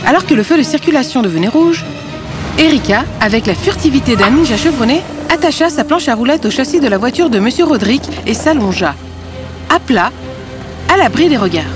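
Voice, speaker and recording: High, lively female storyteller. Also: a little fast, over music. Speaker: narrator. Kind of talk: scripted children's fairy tale narration.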